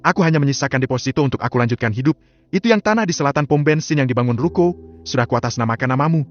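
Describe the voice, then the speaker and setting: A man voicing a cold, ruthless older crime boss who talks in a flat, curt way. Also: mid-range voice, very fast, voice pushed up, lightly acted. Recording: slightly muffled, soft music behind.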